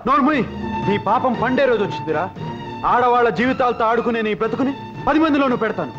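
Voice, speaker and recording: Brief: indignant righteous young man, quick high voice, dull old film audio. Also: music in the background. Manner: fast, indignant scolding.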